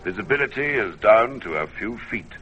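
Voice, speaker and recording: A man narrating an old-time radio adventure from a script in a measured, theatrical manner. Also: fairly low pitch, expressive, noisy background. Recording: dull vintage radio recording. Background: music.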